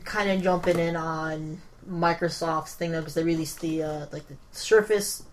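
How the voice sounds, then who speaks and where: A woman's voice: low voice, fairly even intonation, normal speed. A woman co-hosting a podcast, explaining things in casual tech chat with hesitant, informal phrasing. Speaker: podcast co-host. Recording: noisy room ambience.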